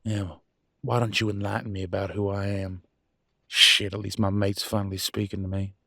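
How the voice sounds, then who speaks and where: A man's voice: fairly low pitch, flat delivery, normal speed, creaky, bright, forceful tone, no echo, studio quality, full character voice. A man playing a proud, wounded werewolf husband and country vet in a roleplay audio drama, with a drawl and a folksy, bitter, raw way of talking. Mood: bitter, sarcastic. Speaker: voice actor. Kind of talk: dramatic roleplay audio, one side of a couple's argument.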